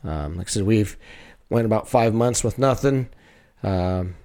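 A man, a wrestling coach, talking over the season in a casual, halting way, full of fillers and restarts. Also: fairly low pitch, warm tone. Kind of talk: casual chat.

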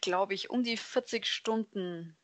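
A woman's voice: mid-range voice, a little slow, no room sound. A woman speaking as a podcast guest, explaining her work in a casual, conversational way. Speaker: podcast guest, hobby painter. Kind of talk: casual conversational interview answers.

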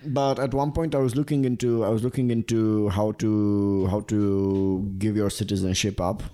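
A man from Romania co-hosts a chatty podcast in a low, warm voice. He is frank and blunt about his opinions and laughs easily. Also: steady tone, normal speed. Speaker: podcast co-host. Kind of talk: casual podcast chat.

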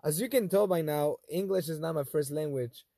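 A young man with a Spanish accent hosts a casual solo talk, his first language being Spanish. His voice sits slightly high and sounds mellow, recorded close and dry. He speaks thoughtfully, pausing and restarting phrases as he works out his point. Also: gravelly, no echo, soft background music. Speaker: host. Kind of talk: casual monologue.

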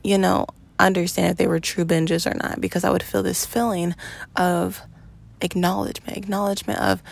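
A woman in her twenties hosting a podcast, talking in an earnest, reflective monologue with slow pauses and fillers. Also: lowish voice, little pitch movement, gravelly. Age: 20s. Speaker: podcast host.

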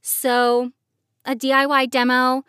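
A woman's voice: high-pitched, completely flat delivery, slow, bright, forceful tone, clear, resonant tone, no echo, studio quality. A woman giving casual, reassuring, explanatory advice as a podcast host. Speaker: podcast host, professional voice actor.

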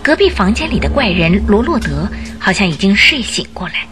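A woman narrating a radio drama, telling the story in an expressive, suspenseful scripted style. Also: mid-range voice, fairly quick, over music.

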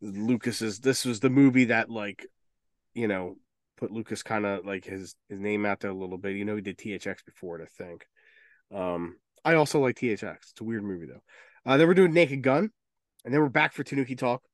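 A man's voice: on the low side, a little fast, dry recording, studio quality. A man hosting a casual movie podcast, talking informally and bluntly, with strong opinions and plenty of swearing. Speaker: podcast host.

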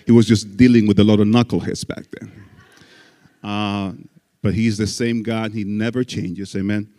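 Pastor guy from the dominican republic, kinda low voice, jokey preaching, big church echo. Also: level tone, normal speed, mellow. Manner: folksy preaching with jokes and frequent amens. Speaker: guest pastor. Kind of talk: sermon. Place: church sanctuary. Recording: church hall reverb.

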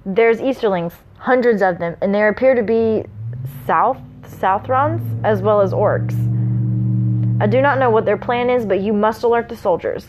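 A woman's voice: mid-range voice, normal speed, a little mellow, close to an everyday voice, rough recording. A woman voicing a grave, urgent male warrior in a story reading, with solemn, formal speech.

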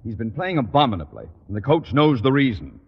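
A pompous blustering principal. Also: male voice, medium pitch, sing-song, brisk, breathy edge. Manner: pompous, long-winded, self-amused. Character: pompous blustering male high school principal. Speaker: school principal. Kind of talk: 1950s radio sitcom acting. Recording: old radio broadcast, muffled with reverb.

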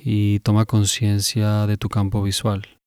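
A man calmly and gently guiding a meditation, instructing with long pauses between phrases. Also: on the low side, clean tone, no echo, clean studio sound. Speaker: meditation guide. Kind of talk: guided meditation.

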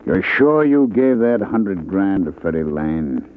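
An actor playing a curt, menacing syndicate boss in an old-time radio drama, terse and controlled. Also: male voice, deep voice, big pitch swings, slow, slight background noise, exaggerated voice. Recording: muffled, tinny old radio recording.

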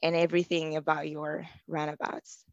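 A young woman in her twenties talks easily about herself as an interview guest. Her voice sits a little low, and she laughs often between thoughts. The sound is dull and very dry. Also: monotone, moderate pace, dry recording. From Germany. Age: 20s. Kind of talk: casual chat.

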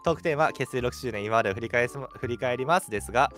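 A young man co-hosting a casual radio chat, talking in an animated, joking way. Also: mid-range voice, fast talker. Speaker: radio show host, audio drama creator. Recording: background music under speech. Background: music.

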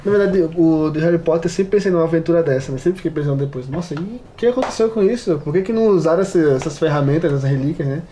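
A Brazilian man in relaxed podcast conversation, informal and jokey. His voice is a little high but soft-edged, with some ambient noise behind it. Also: fast talker, hardly any pauses. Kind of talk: casual podcast chat.

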